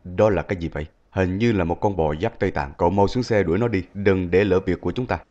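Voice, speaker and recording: Man acting deep, brisk army squad leader. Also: background noise, lightly acted. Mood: firm, alarmed. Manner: firm, reassuring. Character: patient, steadfast male army squad leader. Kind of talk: dubbed cartoon acting. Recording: slightly dull sound, faint music.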